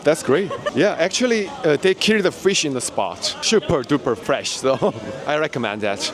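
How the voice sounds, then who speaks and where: A man's voice: fairly high pitch, animated, normal speed. A man in his thirties with a Korean accent, asking strangers questions in a casual, friendly street interview outdoors. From South Korea. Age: 30s. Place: busy city street outdoors. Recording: outdoor street with loud crowd noise.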